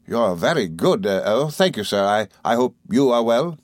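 A man voicing a meek, timid middle-aged country doctor who speaks slowly and apologetically, stammering and adding sir to nearly every phrase. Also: medium pitch, big pitch swings, no room sound, clean studio sound, close to an everyday voice. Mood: meek, fluttered. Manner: slow, apologetic, hesitant, with deferential sirs.

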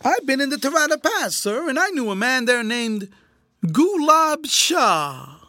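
A man voicing a whining, cringing old ex-soldier turned tramp, rough-spoken and slyly malicious, in a storybook reading. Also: high voice, sing-song, fairly quick, a bit bright, no room sound, studio quality, voice pushed up, heavily acted. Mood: sly, menacing. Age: older. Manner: wheedling, rough colloquial speech.

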